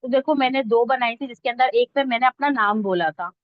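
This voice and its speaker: High-voiced woman teaching, dull lo-fi audio. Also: fairly quick, dry recording. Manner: conversational, checks that listeners follow. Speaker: instructor teaching reel editing online. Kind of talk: informal step-by-step tutorial talk. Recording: dull lo-fi close dry audio.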